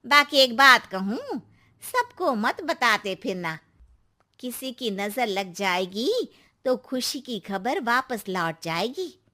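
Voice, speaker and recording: A nosy older auntie in a cartoon moral tale, voiced by a woman. She is superstitious and knowing, drawing out her words slowly as she cautions. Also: a little high, sing-song, sharp tone, full character voice. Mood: warning. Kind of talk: cartoon story dialogue.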